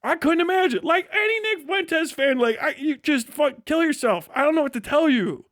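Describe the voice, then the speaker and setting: A man ranting casually on a livestream as its host, profane and sarcastic, acting out imagined dialogue in an animated way. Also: very high-pitched, normal speed.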